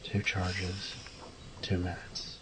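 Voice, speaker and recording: A cocky male thief acted by a man mutters wryly to himself in a low voice, swearing casually. He takes his time over his words in this audio roleplay, with clear ambient noise behind him. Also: completely flat delivery, extremely slow. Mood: confident, focused. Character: cocky male thief, wry and foul-mouthed, talking to himself. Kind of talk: solo audio roleplay acting.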